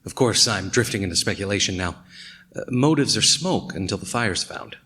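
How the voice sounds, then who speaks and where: A man playing a brooding, philosophical detective in a noir radio mystery, speaking in a deliberate, musing way. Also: lowish voice, expressive, sharp tone, slight background noise. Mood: brooding, measured.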